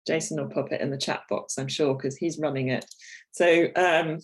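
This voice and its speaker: Croaky woman hosting a webinar. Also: low-pitched, steady tone, moderate pace. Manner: chatty, with frequent ums and throat clearing. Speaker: webinar host. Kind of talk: presenting a product webinar.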